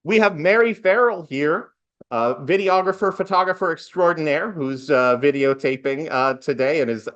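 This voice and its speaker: A man's voice: mid-range voice, fairly bright tone, muffled recording. Man hosting a live awards event. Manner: lightly joking asides. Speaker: event host, master of ceremonies. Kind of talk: welcome speech to a live audience, part scripted.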